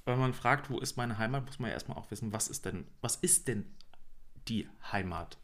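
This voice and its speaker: Male podcast co-host, 30s, from East Berlin; relaxed, halting conversational delivery. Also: lowish voice. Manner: hesitant, many fillers. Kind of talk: casual chat.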